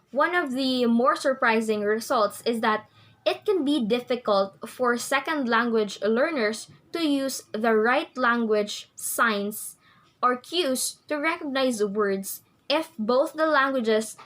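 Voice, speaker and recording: A woman's voice: on the high side, fairly bright tone. A young woman reading her part of a class report from prepared text. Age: young adult. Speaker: student presenter.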